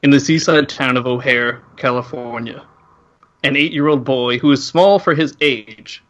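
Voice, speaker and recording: A man's voice: medium pitch, normal speed, fairly bright tone, dull audio. A man co-hosting a podcast, talking casually and reading aloud in a joking way. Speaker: podcast co-host. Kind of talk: casual chat and mock-dramatic plot reading.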